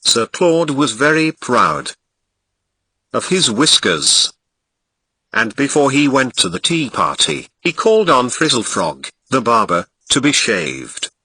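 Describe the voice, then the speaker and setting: Man, Ghanaian accent, storybook narration, very bright sharp tone, lively swinging pitch, dry close sound. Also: slow pace, husky, no room sound, poor audio. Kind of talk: storybook reading.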